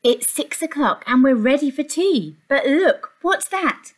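A woman tells a story to small children in the manner of an infant school teacher. Her voice sits fairly high and swoops up and down with sing-song emphasis. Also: expressive, a little slow. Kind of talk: expressive picture-book storytelling for young children. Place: small indoor classroom.

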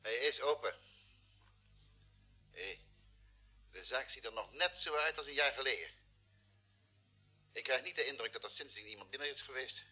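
An actor playing a male space crewman with a rough, husky voice in a vintage Dutch radio play. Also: mid-range voice, moderate pace, pauses often, bright, forceful tone. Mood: cautious.

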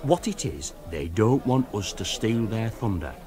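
Actor as an aggrieved older uncle, rambling, swinging up and down in pitch. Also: male voice, sing-song, a little slow, a little mellow. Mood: grumbling. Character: grumbling older northern uncle, set in his ways.

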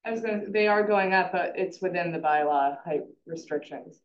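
A woman on a town zoning board, speaking in a relaxed, plain way during a meeting. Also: on the low side, moderate pace, slight background noise. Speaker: board member. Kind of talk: casual meeting discussion. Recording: distant, dull echoey meeting audio.

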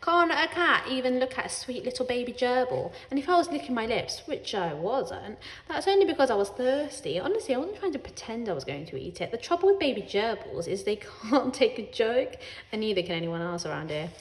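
A woman's voice: fairly high pitch, big pitch swings, fairly quick. A woman voicing an indignant, sarcastic pet cat telling its diary in a children's storybook, with dramatic expression.

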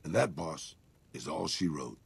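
An actor playing a world-weary old Mexican ghoul gunslinger in a video game, with a gravelly rasp, a Mexican accent and a dry, resigned, sardonic manner. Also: male voice, very deep voice, animated, unhurried, no room sound, exaggerated voice. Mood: wistful, resigned. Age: older. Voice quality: gravelly, raspy. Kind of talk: video game companion dialogue.

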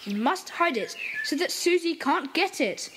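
Bossy eager kid leader of a secret club, high and bouncy. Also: female voice, expressive, noisy background. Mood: brisk. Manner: brisk, commanding, eager. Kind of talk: children's audio drama acting.

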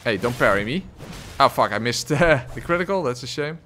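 Male streamer, mid-pitched, rambling casual commentary full of "uh"s, close desk mic. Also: expressive, brisk, fairly bright tone, a little hiss or hum. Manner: rambling, lots of "uh" fillers, frequent chuckles. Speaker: game streamer. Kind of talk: casual live gameplay commentary, reading chat. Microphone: desk microphone close to the face.

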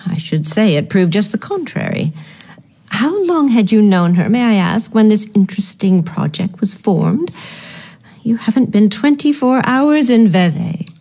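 A woman's voice: mid-range voice, lively intonation, measured pace, creaky, dark tone. A woman playing a haughty, disapproving upper-class aunt in a period radio drama, speaking with formal, dry disdain. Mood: shocked, cold.

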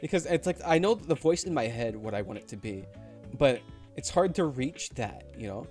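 Young man, livestreamer, casual, playful, very animated pitch, light music in background. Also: normal speed. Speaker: streamer. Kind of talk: casual livestream chat and game narration.

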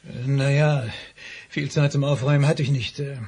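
An actor playing an uneasy small-time henchman in a 1980s radio play, hesitant and raspy. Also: mid-range voice, flat delivery, normal speed, darkish tone. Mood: nervous. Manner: hesitant, nervous.

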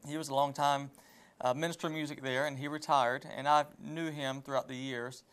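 A man in his thirties from southeast Georgia answers on a studio interview set. His voice is smooth and clear at a middle pitch. He speaks in a measured, plain way with short ums. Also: little pitch movement, fairly bright tone. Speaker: church minister of music. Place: living-room style studio set. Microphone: lapel mic.

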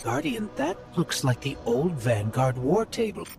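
Earnest, higher-pitched robot companion. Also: male voice, wildly swooping pitch, unhurried, gravelly, full character voice. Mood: wary, defiant. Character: small, earnest, anxious male robot companion. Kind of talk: dramatic video game voice acting. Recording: voice under loud game music.

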